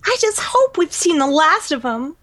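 A woman's voice: high-pitched, sing-song, moderate pace, a bit bright, no room sound. A woman playing an anxious, melodramatic female superhero in an amateur radio play. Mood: worried.

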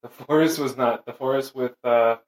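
A man's voice: medium pitch, fairly even intonation, moderate pace. A man in casual chat who meanders and searches for words as he talks.

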